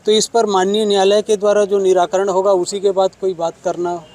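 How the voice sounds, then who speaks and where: Halting, high-pitched male government official. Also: level tone, a little mellow. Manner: halting, measured, formal bureaucratic phrasing. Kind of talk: press statement to reporters.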